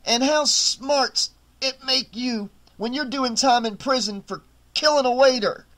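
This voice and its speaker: A man doing a hot-headed young hotel waiter who refuses to back down. His voice rides high, and his replies come quick and heated. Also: expressive, a bit bright. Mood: strained. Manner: heated, defiant comebacks.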